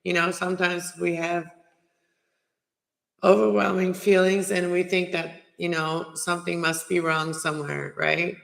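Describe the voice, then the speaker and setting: A man with a strained, raspy throat giving a chatty, reassuring casual tarot reading from home. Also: sits high, level tone, a little slow, fairly bright tone. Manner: chatty, reassuring, many filler phrases. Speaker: tarot reader. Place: home, cold room. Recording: echoey room with long reverb.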